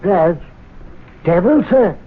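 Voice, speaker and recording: A deferential club butler in a vintage radio play, always careful to say "sir". His voice sits high and a little husky. It comes through a thin, tinny old broadcast sound. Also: expressive, slow, exaggerated voice. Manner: formal, deferential, says "sir" constantly. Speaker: club servant.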